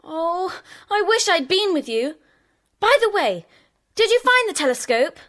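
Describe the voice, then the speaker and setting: A woman's voice: very high voice, big pitch swings, unhurried, fairly bright tone, full character voice. A woman playing an eager, gushing little schoolgirl in a children's audio drama.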